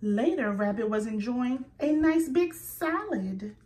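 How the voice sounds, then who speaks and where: Lady reading a picture book to little kids, slow and super expressive, pitch bouncing around. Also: far from the mic. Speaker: children's story reader.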